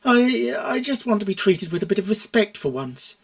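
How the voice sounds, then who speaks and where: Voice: medium pitch, big pitch swings, normal speed, gravelly, voice pushed up. A performer voicing an exasperated, blunt cartoon mare in a story reading. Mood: hesitant. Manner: hesitant muttering or indignant outbursts. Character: exasperated, blunt young mare with a short temper.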